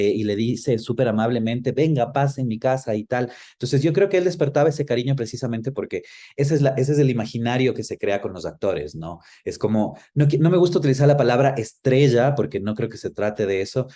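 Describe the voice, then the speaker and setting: Mellow-voiced man reflecting. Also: medium pitch. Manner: thoughtful, searches for words and rephrases. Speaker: interview guest, actor. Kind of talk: reflective conversational interview.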